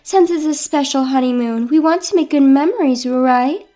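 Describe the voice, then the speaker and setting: Woman putting on a pushy, high-pitched older mother-in-law. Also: normal speed, warm tone, soft background music, natural voice. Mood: scolding, condescending. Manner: haughty, artificially wounded, scolding. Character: pushy, smug, guilt-tripping mother-in-law of a new husband.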